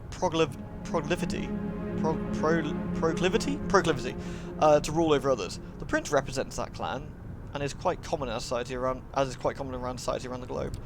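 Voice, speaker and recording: A man voicing a refined, courteous vampire woman in a visual novel, measured and eloquent. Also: a little high, close to an everyday voice. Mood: lecturing.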